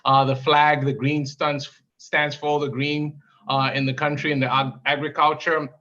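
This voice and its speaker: A man's voice: on the high side, little pitch movement, normal speed, sharp tone. A man from Zambia, a guest golfer, talking in a friendly, enthusiastic way. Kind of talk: casual interview chat. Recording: lo-fi stream audio.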